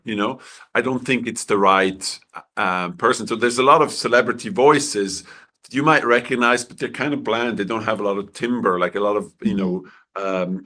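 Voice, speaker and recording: A man from Switzerland, a film director answering interview questions in a halting way with many restarts, clearing his throat as his voice goes. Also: fairly low pitch, bright, forceful tone.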